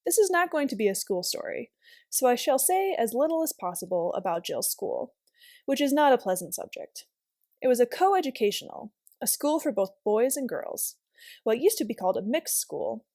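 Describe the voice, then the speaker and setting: A woman's voice: a little high, sing-song, moderate pace, darkish tone, no room sound, clean studio sound. A woman reading aloud as a volunteer storyteller, narrating a children's novel expressively.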